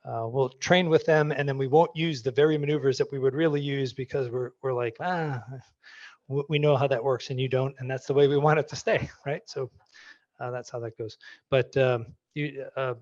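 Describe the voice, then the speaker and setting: A man's voice: mid-range voice, fairly even intonation, normal speed, a little mellow, dry recording, lo-fi sound. Chatty man. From Maine, US. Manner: conversational, many restarts and fillers. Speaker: guest speaker. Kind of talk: answering audience questions.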